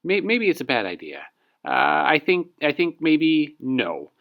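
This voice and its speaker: A man voicing a timid, excitable, polite young girl in a storybook read-aloud. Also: on the high side, a little slow, gravelly, pitched above the performer's own voice, heavily acted. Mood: nervous.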